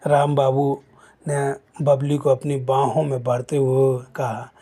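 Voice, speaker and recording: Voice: medium pitch, level tone, takes it slowly, dark tone. A storyteller narrating a moral family story, occasionally stumbling and repeating words while reading.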